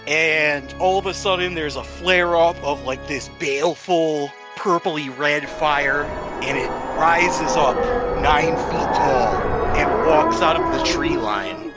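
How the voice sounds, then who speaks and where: Higher-pitched man as tabletop game master. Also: lively intonation. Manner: casual, descriptive, halting phrasing. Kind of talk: improvised narration of a tabletop fantasy game. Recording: music behind the voice. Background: background music.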